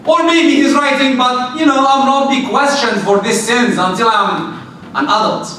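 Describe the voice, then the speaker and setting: Loud guy lecturing teens, high bright voice, asks lots of questions, big echoey hall, mic far off. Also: normal speed. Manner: direct, rhetorical questions, animated. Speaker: lecturer addressing youth. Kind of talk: religious talk to young people. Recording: very echoey hall, distant mic, faint noise.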